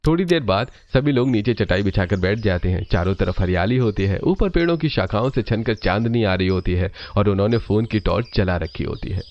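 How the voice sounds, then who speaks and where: Steady low male story narrator. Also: brisk, hardly any pauses, dull audio, slight background noise.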